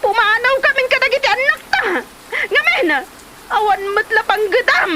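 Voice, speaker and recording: Woman acting shrill, distraught Filipino wife. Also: animated, normal speed, pushed and bright, full character voice. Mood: frantic, accusing. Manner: plaintive, exclamatory. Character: distraught, fretful wife and mother. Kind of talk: Ilocano comedy drama acting. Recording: faint-to-clear noise, rain-like ambience.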